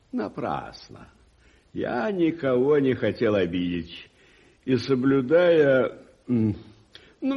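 An actor playing a pompous, disapproving, stuffy gentleman in a radio drama, with a haughty, halting manner. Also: medium pitch, breathy edge, full character voice. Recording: dull early-1980s radio play recording.

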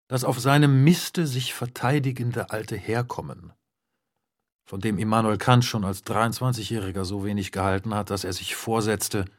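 A man narrating a literary essay in a radio play, in a measured, formal, scholarly manner. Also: lowish voice. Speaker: narrator reading a prefatory note in a radio play. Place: broadcast studio.

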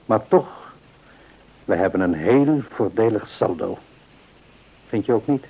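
A reassuring, devout father in a mid-century radio play. His voice is fairly low and noticeably husky. He counsels in a measured, earnest way. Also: lively intonation, warm tone, exaggerated voice, poor audio. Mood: earnest, grateful. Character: devout, reassuring father of a household, middle-aged man.

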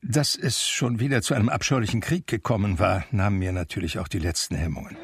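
An actor playing an aging man who recounts his memoir in a measured, reflective literary manner for a radio drama. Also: fairly low pitch, creaky, slightly rough, no room sound, studio quality, heavily acted. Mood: confessional. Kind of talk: literary first-person radio drama narration.

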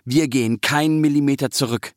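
An angry far-right frontman, acted out by a man, stirring up his followers in a mid-pitched voice. He is forceful and agitating, delivering his words as slogans in a dry, close recording of a literary reading. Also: a little fast, dry recording, close to an everyday voice. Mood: grim, agitating. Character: angry far-right male singer rallying a crowd.

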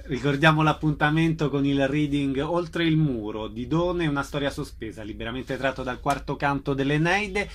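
A man's voice: medium pitch, moderate pace, hardly any pauses, a little hiss or hum. A man hosting a radio programme, announcing events and interviewing guests.

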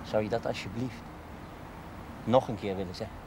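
An actor playing a playful, ardent young suitor in a television drama, teasing and eagerly insistent. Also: male voice, lowish voice, moderate pace, vocal fry, noisy background. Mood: tender, earnest. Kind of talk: 1970s Dutch television drama acting.

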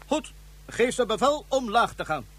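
An actor playing a calculating male ruler who gives orders smoothly in a radio play. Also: very high voice, very animated, moderate pace, a bit bright, exaggerated voice. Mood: scheming. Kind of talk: 1970s radio drama acting.

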